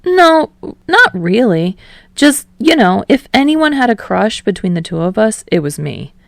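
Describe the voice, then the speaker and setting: A woman's voice: mid-range voice, very animated, unhurried, vocal fry, no room sound, clean studio sound, voice pushed up. A woman voicing an easygoing, earnest young man who talks in a casual, rambling way in a story reading.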